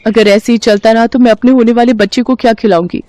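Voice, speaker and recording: A woman's voice: on the high side, steady tone, fast, mellow, natural voice. A woman voicing a poor, pregnant young widow in a cartoon story, speaking in an earnest, pleading way.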